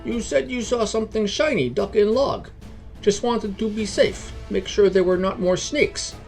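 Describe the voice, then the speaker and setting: Man voicing an earnest, higher-pitched paladin with clipped speech. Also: moderate pace, darkish tone, faint music behind. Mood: defensive. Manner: clipped foreign-style speech dropping articles. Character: earnest, self-righteous male paladin warrior.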